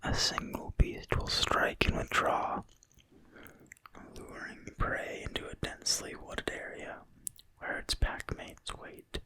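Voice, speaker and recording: An ASMR reader speaks entirely in a breathy whisper, quiet and crisp on the consonants. The delivery is slow and deliberate, like someone softly reading aloud from a fantasy game book. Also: dry recording, rough recording. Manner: slow, soft, unhurried, deliberate. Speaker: ASMR video creator and reader.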